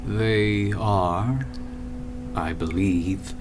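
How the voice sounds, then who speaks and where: An actor playing an imperious, cold, grandiose city founder in a radio drama, speaking in a measured, formal, oratorical way. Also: male voice, fairly low pitch. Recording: steady background noise over voice.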